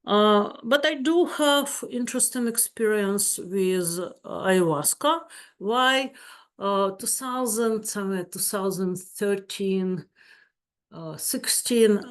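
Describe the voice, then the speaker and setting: Slow-talking Russian-accented woman. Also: medium pitch. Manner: slow, searching for words, warm and earnest. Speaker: therapist. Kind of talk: webinar presentation.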